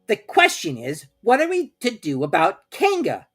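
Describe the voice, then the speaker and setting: A woman's voice: medium pitch, huge pitch swings, normal speed, fairly bright tone, breathy edge, faint music behind, pitched above the performer's own voice, full character voice. A woman voicing a bossy, self-important male rabbit who lectures emphatically and pompously in a storybook reading. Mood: scheming, bossy.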